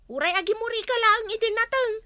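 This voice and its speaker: A woman's voice: very high-pitched, quick pace, pushed and bright, no room sound, studio quality. An actress playing an overworked young woman who does all the chores and keeps complaining. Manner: complaining, put-upon.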